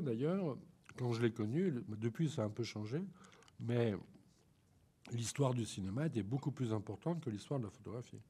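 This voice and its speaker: Male lecturer, head cold, breathy voice, thinks aloud with frequent hesitations. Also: mid-range voice, dark tone. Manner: hesitant, many ums and restarts. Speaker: lecturer on photography. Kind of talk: informal art lecture.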